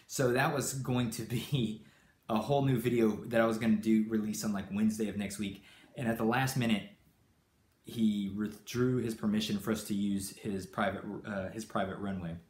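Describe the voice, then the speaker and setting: A man's voice: lowish voice, fairly even intonation, normal speed, echoey room. A man who grew up in Brazil, hosting a live stream and answering viewers in a rambling, casual way with many fillers and restarts. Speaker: channel host.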